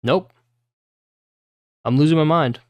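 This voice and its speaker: A man's voice: a little high, takes it slowly, no echo. A man livestreaming, reading a novel aloud and breaking off into informal, puzzled asides with some swearing.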